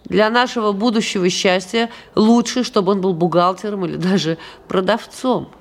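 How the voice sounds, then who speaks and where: An actress playing a showy prima donna in a radio play, speaking in an affected, theatrical and falsely modest way. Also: female voice, medium pitch, moderate pace, natural voice. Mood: affected, complaining.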